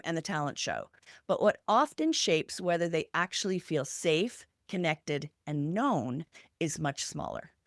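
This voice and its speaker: A woman podcast host with a slightly low voice and animated intonation. She presents prepared points with deliberate emphasis, item by item. The recording is dry, with little room sound. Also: no echo. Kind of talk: podcast talk from prepared notes.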